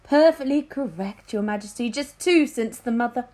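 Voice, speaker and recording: A woman's voice: a little high, normal speed, dark tone, pitched above the performer's own voice, natural voice. A woman voicing an obsequious old male adviser to a king, formal and deferential, in a storybook reading.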